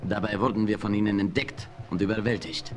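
An actor playing an earnest Comanche chief in a radio play, reporting events plainly. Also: male voice, lowish voice, fairly even intonation, brisk, close to an everyday voice. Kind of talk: 1970s radio play acting.

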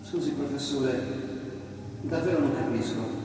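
An actor playing an insinuating, mock-polite interrogator in a stage drama, questioning formally and with pointed sarcasm. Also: male voice, mid-range voice, measured pace, music in the background, close to an everyday voice. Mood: sarcastic disbelief. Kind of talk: theatrical courtroom-style questioning. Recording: long hall reverb, distant, clear background noise.

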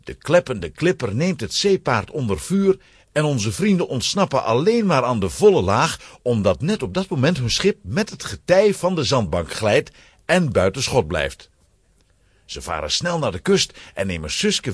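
A man's voice: medium pitch, big pitch swings, moderate pace, slightly rough, dry recording, studio quality. A man narrating a children's audio play in a scripted storytelling style.

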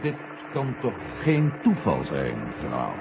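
An actor playing a keen, respectful amateur sleuth with a hesitant, probing manner in a 1970 Dutch radio drama. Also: male voice, lowish voice, huge pitch swings, a little slow, heavily acted. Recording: muffled, noisy 1970 radio recording.

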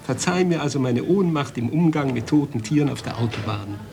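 An actor playing a sardonic, irritable husband in a German radio play, dry and dismissive. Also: male voice, mid-range voice, animated, brisk, gravelly, darkish tone. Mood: sardonic, irritated. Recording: faintly noisy old cassette radio recording.

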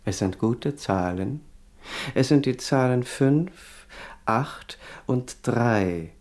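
An actor playing a mild but persistent shabby peddler in a radio play, with a creaky, mellow voice. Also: male voice, medium pitch, lively intonation, takes it slowly. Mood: coaxing. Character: mild, shabby, persistent little hawker of lottery tickets. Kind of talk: German radio play acting.

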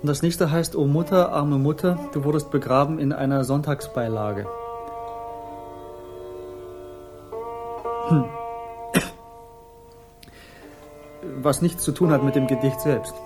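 Solemn young hippie poet played by an actor, highish voice. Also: male voice, brisk, over music, natural voice. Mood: solemn, pretentious. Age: young adult. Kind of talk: poetry recital within a radio drama.

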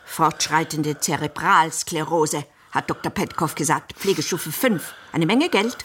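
An actress playing a haughty elderly madame in a German radio play, imperious, brisk and theatrical. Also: female voice, low-pitched, fairly bright tone, a little hiss or hum. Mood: brisk, scornful. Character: haughty elderly madame of a shabby care household.

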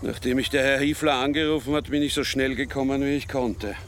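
An actor playing a calm, reassuring police inspector. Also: male voice, medium pitch, a little fast, a little hiss or hum, lightly acted.

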